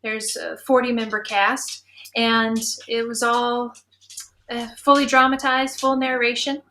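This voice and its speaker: Bright-voiced woman chatting on a panel. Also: a little high, level tone, measured pace, a little hiss or hum. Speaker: panel moderator, musician and indie audio drama creator. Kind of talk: casual panel talk.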